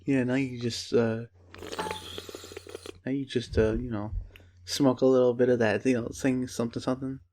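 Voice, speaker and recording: Young guy podcast cohost, teasing, kinda halting, just chatting and joking. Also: medium pitch, level tone, dark tone, slight background noise. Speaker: podcast co-host. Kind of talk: casual comedic chat.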